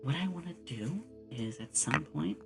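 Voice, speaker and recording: Very low, creaky-voiced woman streamer over music. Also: animated, normal speed. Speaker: streamer and game master. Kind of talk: casual ASMR livestream chat. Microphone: close binaural ASMR microphone.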